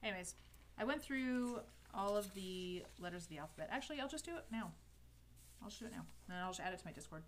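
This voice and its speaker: A woman's voice: medium pitch, expressive, quick pace, slight background noise. A woman in her thirties with a Canadian accent, chatting casually while teaching a calligraphy livestream, rambling and correcting herself as she goes. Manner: rambling and self-interrupting, with light laughs. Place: home desk studio indoors. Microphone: headset.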